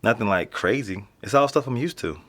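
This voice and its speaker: A man's voice: mid-range voice, animated, brisk, fairly bright tone. A young man with an American accent playing a casual, slangy, streetwise teenage boy being interviewed in a naturalistic audio drama. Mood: offhand. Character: nonchalant streetwise teenage boy living in an apartment building.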